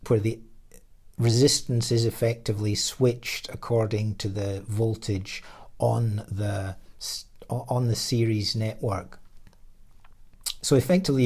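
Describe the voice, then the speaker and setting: A man with a fairly low voice narrates a technical slide presentation. He speaks as an engineer explaining a design, measured and a little hesitant, often pausing partway through a phrase. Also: a little mellow. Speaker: radio design engineer presenting his product.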